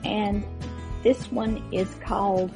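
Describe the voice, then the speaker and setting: Slow, creaky-voiced woman hosting over music. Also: mid-range voice, steady tone. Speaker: podcast host. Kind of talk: casual chat to listeners. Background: plucked string music.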